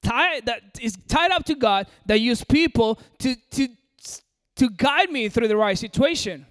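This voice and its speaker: High-voiced man preaching to a hall. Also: lively intonation, unhurried, a bit bright. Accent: American-sounding English. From Peru. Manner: direct and coaxing, addresses the audience. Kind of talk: sermon. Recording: long hall reverb.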